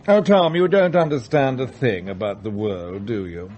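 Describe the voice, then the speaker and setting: A man's voice: medium pitch, normal speed, lo-fi sound, lightly acted. An actor playing a scornful, condescending man in a radio drama, with an emphatic manner.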